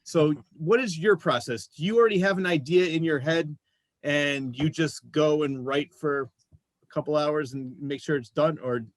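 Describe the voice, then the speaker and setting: A man's voice: on the high side, moderate pace, dry recording. A man hosting a casual interview, putting questions to a guest in a conversational way. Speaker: interviewer and show host.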